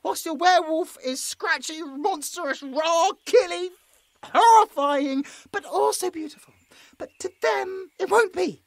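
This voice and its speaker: A man's voice: very high-pitched, unhurried, vocal fry, dry recording, full character voice. A British man playing a nervous, squeamish young man who pleads and stammers his way through a roleplay audio drama. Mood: desperate flattering. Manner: stammering, flustered, exasperated.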